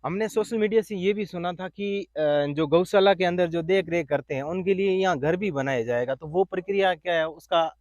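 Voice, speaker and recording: A man's voice: sits high, normal speed, hardly any pauses, a little mellow, dry recording, highs cut off. A man asking informal interview questions.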